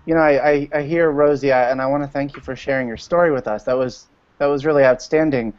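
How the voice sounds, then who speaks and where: A man's voice: mid-range voice, fairly even intonation, quick pace, a little mellow, no echo. Man in his thirties, dull call audio. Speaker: school librarian guest. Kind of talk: casual video-call chat. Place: room at home.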